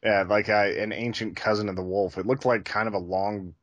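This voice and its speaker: A man's voice: on the low side, steady tone, fairly quick, fairly bright tone, dry recording, muffled recording. A man co-hosting a podcast, chatting casually in a rambling, loosely phrased way. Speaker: podcast co-host. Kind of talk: casual chat.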